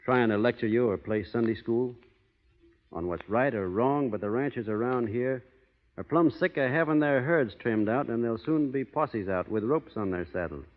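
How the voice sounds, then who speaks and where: An actor playing a plainspoken, stubborn, honorable cowboy with a drawling American Western accent in an old radio western. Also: male voice, medium pitch, moderate pace, phone-quality sound, natural voice. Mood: grave, quietly warning. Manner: drawling folksy cowboy talk, understated. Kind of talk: 1930s-40s radio western acting. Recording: boxy old radio recording, hall reverb.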